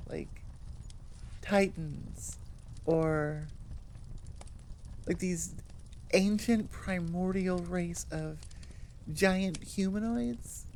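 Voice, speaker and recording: A woman audio roleplay creator chatting informally to her listeners in an animated, rambling way. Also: fairly low pitch, a little hiss or hum. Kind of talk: casual chat outro to listeners.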